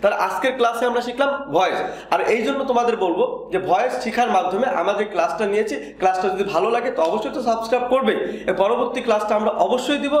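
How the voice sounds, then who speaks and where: A man's voice: high-pitched, racing. A man in his thirties with a Bangladeshi accent lecturing as a grammar teacher, emphatic and didactic, often answering his own questions. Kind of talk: classroom-style video lecture. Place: indoor room in front of a whiteboard. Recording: very echoey, reverberant room.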